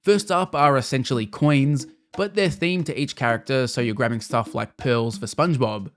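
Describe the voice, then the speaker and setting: A man's voice: mid-range voice, moderate pace. A man narrating a scripted video game review in a casual, wry manner with the odd mild swear.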